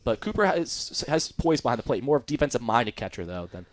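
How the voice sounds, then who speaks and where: A man's voice: mid-range voice, very animated, quick pace, dry recording. A young American man giving live color commentary on a baseball radio broadcast.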